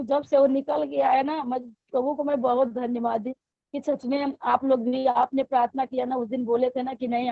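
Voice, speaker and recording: A hoarse woman giving a halting spoken testimony to her pastor, with many fillers and restarts. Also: high voice, no room sound, dull audio. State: hoarse throat. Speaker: church member giving testimony.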